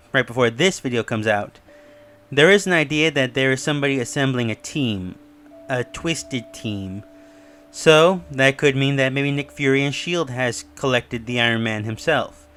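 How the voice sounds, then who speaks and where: A man's voice: mid-range voice, a bit bright, clear, resonant tone. A man giving casual, speculative video commentary in a musing, slightly rambling way as host. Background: music under the voice.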